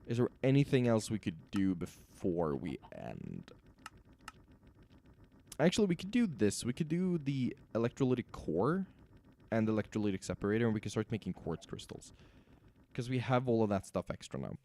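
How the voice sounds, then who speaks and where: A man with a raspy, sore voice, talking himself through building machines while streaming a game. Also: lowish voice, very animated, normal speed, warm tone, slight background noise. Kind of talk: casual gameplay commentary.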